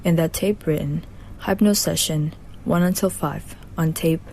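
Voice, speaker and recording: A woman's voice: sits low, fairly even intonation, unhurried, darkish tone, slight background noise. A woman narrating an amateur radio drama. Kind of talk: scripted narration.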